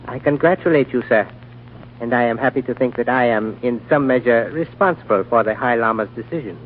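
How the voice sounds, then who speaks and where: A man's voice: medium pitch, a little fast, close to an everyday voice. A man playing a formal, deferential Chinese lamasery attendant in a 1940s radio drama, ceremonious and precise. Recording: old narrow-band radio recording, faint noise.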